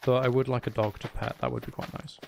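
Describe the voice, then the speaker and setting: A man with a gravelly, creaky voice and a middling pitch hosts a casual game stream. He banters with viewers in playful mock threats, with faint noise behind him. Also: flat delivery, fast. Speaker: streamer, host. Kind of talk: casual gameplay livestream chat.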